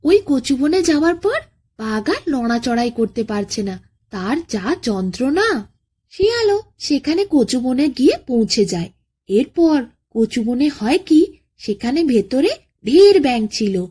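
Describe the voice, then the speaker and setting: A woman narrating a children's bedtime folk tale as a storyteller, in an expressive, dramatic way. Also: sits high, unhurried, husky.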